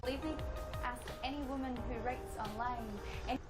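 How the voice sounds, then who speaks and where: A woman's voice: a little high, steady tone, fairly quick. A woman performing spoken word in a rhythmic, declamatory way. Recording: clip played with loud music.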